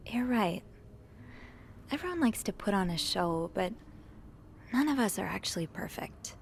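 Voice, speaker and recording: A woman's voice: mid-range voice, expressive, a little slow, vocal fry, a little hiss or hum. A young woman playing an earnest 1950s hotel maid in a video game, sensitive and easily shaken. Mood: friendly, wry. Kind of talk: dramatic game cutscene acting.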